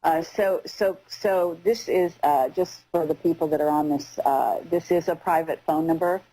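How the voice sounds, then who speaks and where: A woman hosting a live radio interview, speaking in halting phrases with repeated words. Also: sits low, fairly even intonation, mellow, slightly rough, rough recording. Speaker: radio show host.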